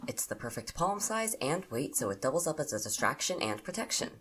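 Lively, lowish bossy young roommate played by a woman. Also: brisk, noisy background. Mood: fond, teasing. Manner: bossy, mock-threatening, fond teasing. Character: bossy, teasing, caring young goth witch roommate. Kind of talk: audio drama dialogue.

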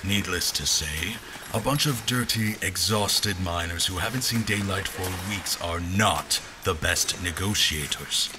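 A man playing a wry, self-doubting military officer who narrates his memories in an audio drama with measured, dry delivery. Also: low voice, background noise. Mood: wry, grave. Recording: music under the voice. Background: music.

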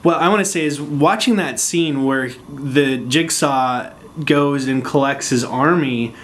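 A man's voice: medium pitch, measured pace, fairly bright tone. A man interviewing a film director, enthusiastic and casual, with long rambling questions. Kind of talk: press junket interview.

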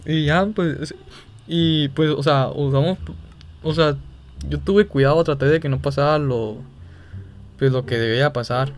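A young man with a Mexican accent talking casually and haltingly on a phone call, sounding embarrassed. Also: on the high side, a little hiss or hum. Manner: hesitant, halting, feigning embarrassment. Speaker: gaming video creator making a prank call. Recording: music playing behind the voice. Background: music.

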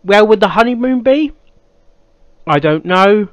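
A man giving casual reaction commentary as a video host, in a halting way that repeats phrases. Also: high voice, expressive, no echo. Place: small cluttered room indoors. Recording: slightly dull, dry sound.

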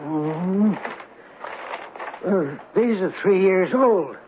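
An actor playing a guarded, fussy office boss in a 1970s radio drama, formal, hesitant and curt. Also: male voice, high voice, expressive, extremely slow, exaggerated voice. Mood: guarded, brisk. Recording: muffled, lo-fi, noisy old radio broadcast.

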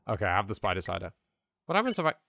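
A man with a British accent giving casual, excitable gameplay commentary, self-deprecating and repeating himself. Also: on the low side, quick pace, vocal fry, no echo, studio quality. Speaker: let's play host. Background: game music.

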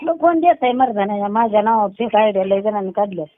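Older female prank-call target, rural Maharashtrian accent, earthy and sharp-tongued, heard through a thin phone line. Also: mid-range voice, animated, quick pace, no echo. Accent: rural Maharashtrian Marathi. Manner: blunt, earthy, curses freely. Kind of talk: casual phone chat. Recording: tinny, phone-like band-limited sound.

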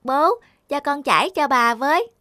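A woman's voice: high voice, animated, moderate pace, pushed and bright, no echo, clean studio sound. A woman voicing an eager, affectionate little boy in a cartoon, childlike and earnest. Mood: pleading. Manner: childlike, pleading. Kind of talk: cartoon voice acting.

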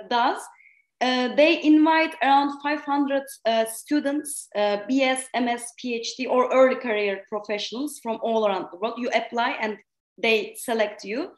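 A woman's voice: on the high side, echoey room. A woman in her thirties from Turkey with a Turkish accent, a lecturer giving an informal scientific presentation online. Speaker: university lecturer and invited speaker. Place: indoor room, remote connection to a virtual event.

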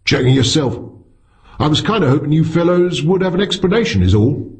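A man's voice: fairly high pitch, animated, brisk, voice pushed up. A man voicing a plain-spoken working man and amateur astronomer with a folksy, direct, deferential manner, in a story reading. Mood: matter-of-fact.

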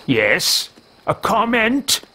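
A man voicing an arrogant wizard mercenary who talks to himself, in a pompous, theatrical, drawn-out manner while playing a game. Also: high voice, very animated, bright, forceful tone, voice pushed up, exaggerated voice. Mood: musing. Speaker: let's play roleplayer. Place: small room with bookshelves, indoors. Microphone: headset mic at a desk webcam.

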